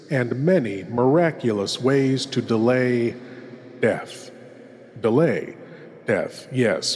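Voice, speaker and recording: In a long, cavernous reverb, a man performs as an eerie, courtly host of a horror show. He speaks very slowly and intimately, leaving dramatic pauses in his ominous, scripted welcome. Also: mid-range voice, darkish tone. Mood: ominous, grave. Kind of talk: theatrical scripted horror introduction.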